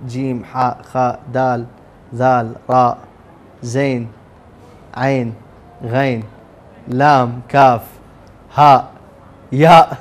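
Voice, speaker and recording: A man's voice: mid-range voice, flat delivery, very slow, pauses often. A young man co-hosting a studio chat game, teasing his partner and giggling, on a lapel mic. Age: young adult. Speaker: co-host. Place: studio set with lounge chairs.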